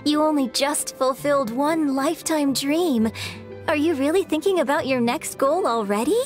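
A woman's voice: high-pitched, normal speed, natural voice. A woman voicing a poised, graceful young opera singer. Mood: fond. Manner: graceful, gently teasing. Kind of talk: game voice acting. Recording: game audio with faint music behind.

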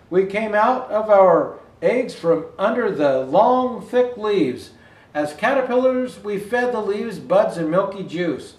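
High-pitched older man reading a storybook. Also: far from the mic. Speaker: Santa Claus storyteller. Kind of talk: read-aloud children's picture book. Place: indoor room with Christmas decor. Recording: roomy indoor echo.

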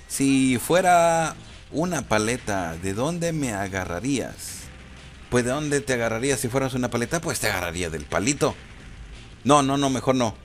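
Casual guy, noisy background. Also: mid-range voice. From Guatemala. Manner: informal, slangy, hesitant with repeated phrases. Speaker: YouTuber answering viewer questions. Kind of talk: casual chat over gameplay footage. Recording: clear background noise.

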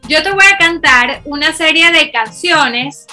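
A young woman hosts an interview from her home studio, teasing her guest in a playful, quick way. Her voice is high and bright, and soft music plays faintly behind her. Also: expressive. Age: young adult. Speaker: host. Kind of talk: casual interview chat. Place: home studio with keyboard and speakers.

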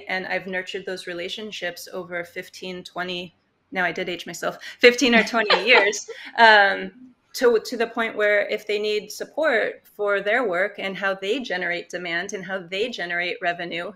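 Bright-voiced woman, thoughtful guest. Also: medium pitch. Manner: thoughtful, reflective, many fillers. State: slightly hoarse. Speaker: podcast guest, consultant. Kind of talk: casual interview talk. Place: home room, indoors. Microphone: earbud headset on video call.

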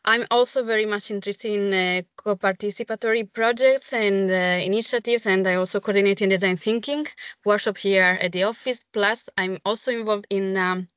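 A woman's voice: mid-range voice, steady tone, fairly quick, a bit bright, smooth, no room sound. A woman from Italy introducing herself as an agency activity manager on a video call, enthusiastic and rambling with many fillers.

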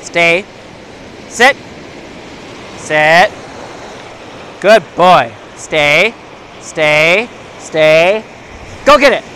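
Young guy, bright voice, casual; handheld phone on a windy beach, short dog commands. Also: fairly high pitch, animated, drawn-out words, pauses often, poor audio. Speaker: dog owner filming a beach walk. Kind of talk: casual chat. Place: sandy lake beach outdoors, waves behind. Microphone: handheld phone camera. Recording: faint surf and wind noise.

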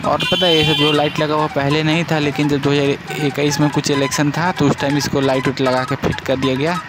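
Young male vlogger, casual rambling narration from a night bike ride, mid pitch, loud music bed. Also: rough recording. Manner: chatty, repeatedly asks viewers to like and subscribe. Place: riding a motorbike through city streets at night. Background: loud background music.

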